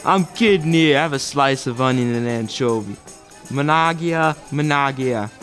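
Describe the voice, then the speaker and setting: A man's voice: mid-range voice, measured pace, fairly bright tone, soft background music, lightly acted. A young man playing a chatty, eager-to-please pizza parlor sidekick in a comic student radio play. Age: young adult. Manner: chatty, solicitous. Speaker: actor in a student audio play.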